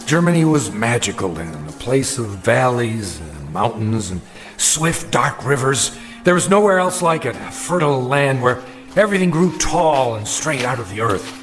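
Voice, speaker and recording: An actor playing a haunted older gentleman in a radio drama, recounting his youth in formal, precise, insistent words. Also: mid-range voice, big pitch swings, moderate pace, natural voice. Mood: reflective, intense. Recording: music under the voice. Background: dramatic music.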